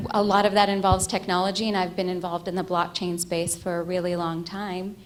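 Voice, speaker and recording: Low-voiced woman from Egypt, earnest keynote. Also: little pitch movement, slight background noise. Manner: earnest, measured, loud speaking voice. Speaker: keynote speaker, ethicist and futurist. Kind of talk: keynote talk.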